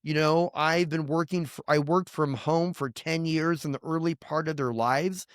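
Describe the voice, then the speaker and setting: A man's voice: on the high side, fairly even intonation, moderate pace, no echo, clean studio sound. Earnest middle-aged man pastor. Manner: earnest, emphatic, grows heated and indignant. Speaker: pastor, podcast guest. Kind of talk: candid testimony in conversation.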